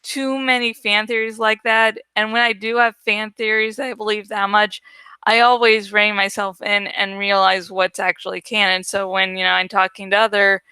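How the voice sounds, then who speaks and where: A woman's voice: medium pitch, flat delivery, normal speed, pushed and bright, smooth, dry recording. A woman hosting a book read-aloud show, giving informal fan commentary that rambles and digresses. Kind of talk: casual, rambling fan commentary between dramatic readings.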